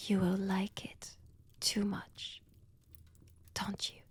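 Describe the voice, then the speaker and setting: A woman's voice: medium pitch, monotone, dry recording, heavily acted. A woman with a French accent voicing a seductive, menacing female vampire in an intimate ASMR roleplay, whispery and teasing, close to the microphone. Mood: taunting. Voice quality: breathy, whispery. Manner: slow, teasing, deliberate delivery. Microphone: close, dry microphone.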